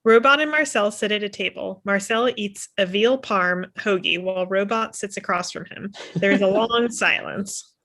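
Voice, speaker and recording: Female narrator for a script read, medium-pitched voice, reading stage directions over video call. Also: normal speed, a bit bright. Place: indoor room on a video call.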